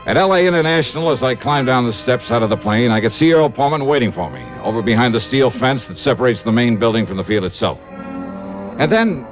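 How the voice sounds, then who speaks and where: A man's voice: mid-range voice, lightly acted. A man playing a wry, breezy American insurance investigator who narrates his case in a 1950s radio detective drama. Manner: breezy, clipped, wry, animated. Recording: dull old radio recording with music.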